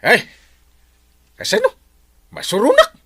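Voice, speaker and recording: An actor playing an exasperated, blustery village captain who scolds and exclaims in a comedic radio drama. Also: male voice, very high voice, wildly swooping pitch, takes it slowly, pushed and bright, slightly rough, exaggerated voice. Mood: flustered, indignant.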